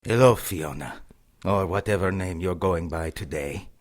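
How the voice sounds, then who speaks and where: An actor playing a sinister, cruel priest who is a cult zealot, speaking ominously in an audio drama. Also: male voice, sits low. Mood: cold menace. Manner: slow, ominous, chanting Latin-like phrases. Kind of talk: audio drama villain acting.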